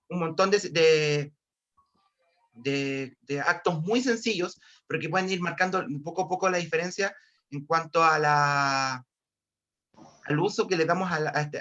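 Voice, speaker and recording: A Chilean male physics teacher, a little hoarse from a cold, teaching online in a fairly high voice. He restarts sentences and keeps calling to his students, through dull, lo-fi video-call audio. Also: fairly bright tone. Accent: Chilean Spanish. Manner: repetitive, restarts often, keeps addressing students. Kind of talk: online class lecture.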